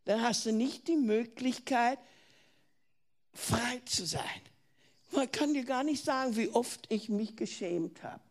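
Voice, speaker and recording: Older woman, German accent, sermon, earnest, questions to the listener, high voice, slow and deliberate. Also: lively intonation. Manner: earnest, asks the listener questions, restarts words. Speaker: preacher.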